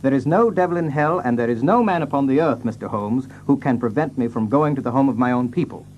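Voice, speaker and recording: An actor playing a proud, resolute, courteous gentleman heir in an audio drama, speaking formally and with emphasis. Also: medium pitch, expressive, fast talker, close to an everyday voice. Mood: defiant. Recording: dull, faintly noisy with hum.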